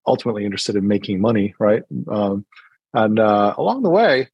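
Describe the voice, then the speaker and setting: Hesitant American man, low voice. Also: very animated, a little fast, slightly rough, dry recording, lo-fi sound. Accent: partly British-sounding American. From New Hampshire, USA. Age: middle-aged. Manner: hesitant, many fillers and restarts. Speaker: interview guest. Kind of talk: casual conversational interview.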